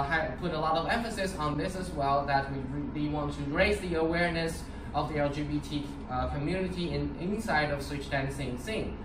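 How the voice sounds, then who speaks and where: Young guy from taiwan teaching a dance class, upbeat and chatty, roomy studio, mic far off, noisy. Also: on the high side. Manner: chatty, upbeat, with fillers and restarts. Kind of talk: casual dance class instruction. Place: large empty dance studio. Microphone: far camera.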